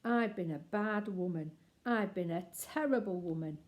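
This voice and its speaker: A woman's voice: lowish voice, moderate pace, natural voice. A woman voicing a chatty, polite working-class lady burglar in a children's storybook, with colloquial, ungrammatical speech. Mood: apologetic.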